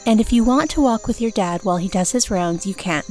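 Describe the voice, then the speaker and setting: A woman playing a calm, sensible mother in a fantasy audio drama, explaining things with composure. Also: medium pitch, a little fast, faint music behind, natural voice. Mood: indulgent, watchful.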